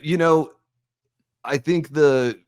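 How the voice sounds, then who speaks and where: A young American man hosting a podcast discussion, giving opinions and going through notes in an informal, halting, thoughtful way. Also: fairly high pitch, clean tone, no room sound, clean studio sound. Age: young adult. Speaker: podcast host.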